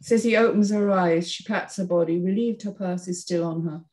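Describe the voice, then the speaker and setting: A woman's voice: on the low side, moderate pace, a little mellow. A woman narrating and hosting a script reading, reading out screenplay stage directions. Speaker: narrator and panel host.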